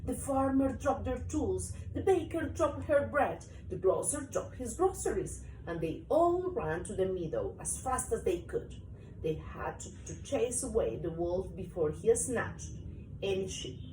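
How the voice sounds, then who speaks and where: A woman reading a children's story aloud to a young child in an animated, expressive way. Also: mid-range voice. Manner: expressive, acts out story parts. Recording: roomy, distant mic, faint noise.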